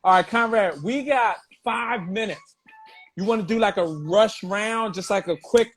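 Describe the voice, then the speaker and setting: A man hosting a comedy talk show, talking casually and excitably, swearing and laughing a lot. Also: sits high, unhurried, soft background music. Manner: profane, excitable, laughs often.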